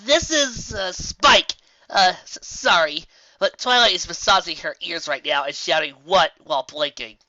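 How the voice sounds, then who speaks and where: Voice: mid-range voice, measured pace, bright, forceful tone, no echo, dull audio, voice pushed up. A performer voicing an awkward young male dragon who speaks hesitantly and stammers.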